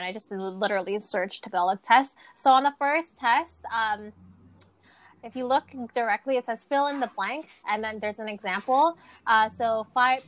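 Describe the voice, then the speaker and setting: A woman's voice: fairly high pitch, fairly bright tone. A woman co-hosting a casual chat and running a quiz game, talking in a rambling way with many fillers and restarts, over a remote call. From Canada. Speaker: co-host. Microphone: remote call mic.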